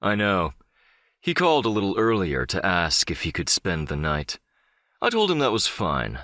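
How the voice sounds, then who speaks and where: A man playing a deep-voiced, smug crime boss. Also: huge pitch swings, moderate pace, sharp tone, no room sound, clean studio sound, exaggerated voice. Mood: cool, polite. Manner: controlled, smugly self-assured, condescending. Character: powerful, calmly manipulative crime boss, middle-aged man. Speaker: audiobook voice actor. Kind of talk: dramatic audiobook dialogue.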